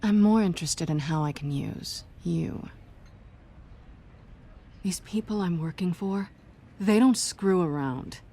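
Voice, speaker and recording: A woman's voice: lowish voice, slow, pauses often, warm tone. A woman playing a sly, defiant cat burglar in a video game, with a cool, sardonic, teasing delivery. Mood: tense, insistent. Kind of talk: dramatic video game dialogue.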